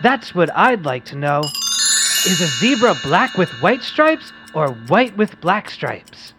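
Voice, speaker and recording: An adult playing an excitable, wholesome boy who hosts a radio quiz, read in the manner of an old comic-book radio play. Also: medium pitch, slow, loud music behind the voice. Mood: showman-like.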